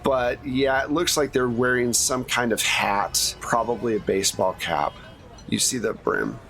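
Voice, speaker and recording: A man's voice: medium pitch, a little slow, gravelly, a bit bright, faint music behind. A man running a tabletop game as game master, narrating scenes off the cuff in a casual, conversational way.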